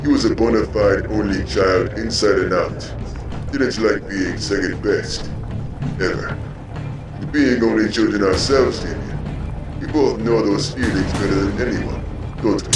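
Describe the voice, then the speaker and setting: A man playing a smug, coolly sadistic villain in a comic book audio drama, with a measured, taunting delivery. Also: lowish voice, natural voice. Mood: bitter, taunting. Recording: roomy, distant mic, music behind.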